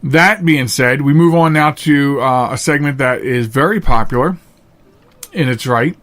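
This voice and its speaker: A man hosting a podcast in a casual, unscripted monologue, earnest and plain-spoken, halting at times and repeating phrases for emphasis. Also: medium pitch, a bit bright. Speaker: podcast host.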